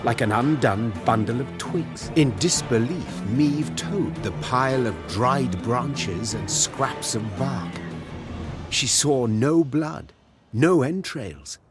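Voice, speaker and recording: A man narrating a fantasy game story as a scripted storyteller. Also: mid-range voice.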